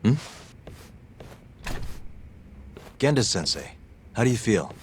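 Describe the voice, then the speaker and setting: An actor playing a cool, good-natured investigator hero in a video game drama, speaking casually and with self-assurance. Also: male voice, on the low side, big pitch swings, brisk, a little hiss or hum. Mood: polite, puzzled. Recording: music behind the dialogue.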